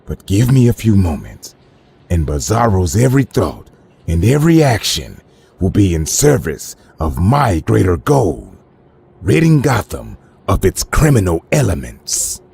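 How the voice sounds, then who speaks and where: An actor playing a pompous, self-righteous crime boss supervillain in an animated comic, speaking in a deep, dark, gravelly voice with measured menace. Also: male voice, heavily acted. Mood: smug menace. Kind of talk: animated comic villain acting.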